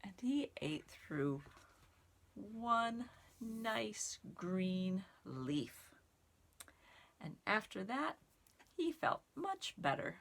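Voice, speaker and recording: A woman reading aloud to young children in simple, expressive, word-by-word phrases. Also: medium pitch, extremely slow, vocal fry, long pauses. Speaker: storytime reader and sign language teacher. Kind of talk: children's read-aloud and sign lesson.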